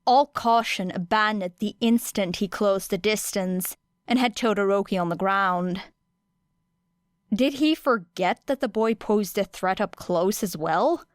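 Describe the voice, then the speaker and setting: A woman reading a story as an audiobook narrator, with expressive, dramatic phrasing and deliberate pauses. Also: mid-range voice, no room sound, studio quality. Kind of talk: expressive scripted fiction narration.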